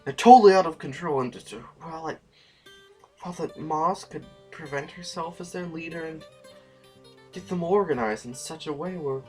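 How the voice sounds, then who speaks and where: A man's voice: a little high, normal speed, a little mellow, soft background music, natural voice. An actor playing an anxious, frightened nobleman in an audio drama, stammering and urgent as he speaks. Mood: nervous urgency. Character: anxious, frightened male nobleman fleeing a violent uprising.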